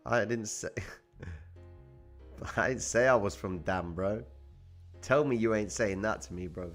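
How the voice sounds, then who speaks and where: A man's voice: lowish voice, slow, soft background music. A young man from Cyprus, casually hosting a trading livestream and answering viewer comments in slangy, laid-back chat.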